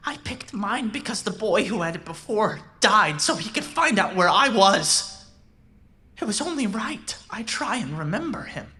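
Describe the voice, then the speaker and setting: A woman's voice: mid-range voice, sing-song, normal speed, sharp tone. A woman playing an intense young robot who was born human, pleading and menacing, with an emphatic, pressing way of talking in an audio drama. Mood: wistful. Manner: emphatic, repetitive, emotionally pressing.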